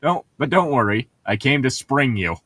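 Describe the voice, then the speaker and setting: A man's voice: medium pitch, lively intonation, moderate pace, fairly bright tone, husky. A man co-hosting a podcast in casual comedic chat, teasing and joking with friends over a book. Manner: teasing, jokey, mock-threatening. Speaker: podcast co-host.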